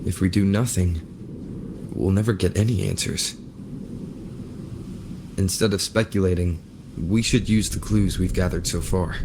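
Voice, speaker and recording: Deep-voiced, level-headed adventurer. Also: male voice, measured pace, long pauses, noisy background. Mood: determined. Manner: steady, reasoning. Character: calm, level-headed young male adventurer, reassuring. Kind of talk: scripted video game voice acting.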